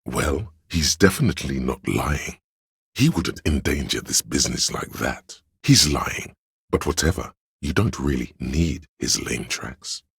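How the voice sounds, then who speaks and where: A man voicing a grandiose, scheming inner voice in a video game, speaking like a theatrical courtly adviser with archaic, deliberate phrasing and a gravelly, husky tone. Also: very deep voice, expressive, fairly bright tone, no echo, clean studio sound, heavily acted. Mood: knowing, measured. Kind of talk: theatrical second-person game narration.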